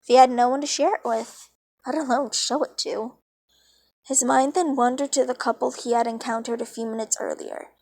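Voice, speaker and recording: A woman's voice: a little high, fairly even intonation, normal speed, darkish tone, no echo. A woman reading a story aloud as an amateur narrator, informal and dramatic, with casual asides. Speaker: fan fiction reader.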